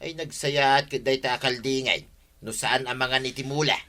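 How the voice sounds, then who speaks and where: A man's voice: medium pitch, fairly even intonation, normal speed, pushed and bright, natural voice. An actor playing an irritated, blustering village man in an Ilocano radio comedy drama. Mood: confrontational.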